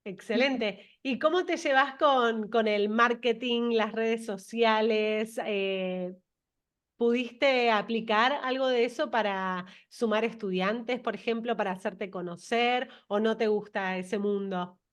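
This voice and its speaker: A woman's voice: fairly high pitch, slow pace. A woman hosting an interview over a video call, affirming and encouraging her guest. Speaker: interview host.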